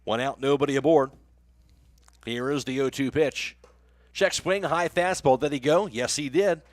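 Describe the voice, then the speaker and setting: A man's voice: mid-range voice, moderate pace, no room sound. A man with an American accent doing live radio play-by-play commentary of a baseball game as the announcer.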